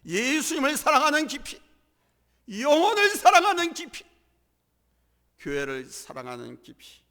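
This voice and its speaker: Male pastor, hoarse from a cold, bright high preaching voice that swings widely, church hall reverb. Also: very animated, normal speed. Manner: emphatic preaching with swells in volume and calls to the congregation. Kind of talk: sermon.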